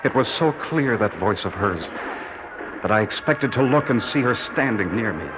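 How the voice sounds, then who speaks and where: A man's voice: fairly low pitch, expressive, moderate pace, natural voice. An actor playing an earnest, lovelorn young farm-raised soldier, telling his story in a folksy, solemn way in 1940s radio drama style. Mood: intense, haunted. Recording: muffled old radio recording with music. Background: dramatic music.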